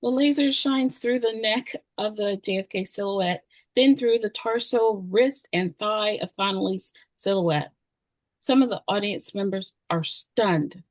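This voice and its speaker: A woman's voice: medium pitch, a little slow, no echo. Woman narrating a script, close and dry. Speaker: narrator reading screenplay stage directions.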